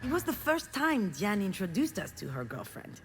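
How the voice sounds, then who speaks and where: A woman's voice: mid-range voice, very animated, normal speed, slight background noise, natural voice. An actress playing a frustrated, self-deprecating woman who narrates her family wounds in a confiding, bitter game monologue. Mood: regretful, confiding. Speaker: visual novel protagonist. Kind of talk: dramatic first-person game narration.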